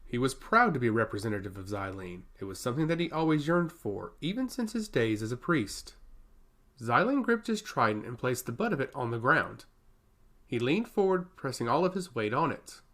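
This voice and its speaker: A man narrates a scripted fantasy tale. His voice sits at a middle pitch and swings expressively up and down as he tells the story. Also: very animated, normal speed, fairly bright tone.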